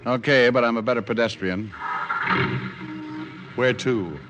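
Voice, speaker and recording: An actor playing a cocky, sardonic American private detective in old radio noir, tossing off flippant wisecracks. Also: male voice, medium pitch, unhurried, natural voice. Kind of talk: 1940s radio noir acting. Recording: faintly noisy old radio recording.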